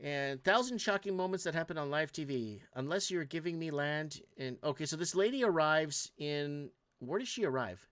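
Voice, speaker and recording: A man hosts a reaction show, commenting on viral clips in an indignant, rambling rant that keeps restarting. His voice sits a little high for a man. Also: expressive, normal speed, hardly any pauses, a bit bright, clean tone. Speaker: host.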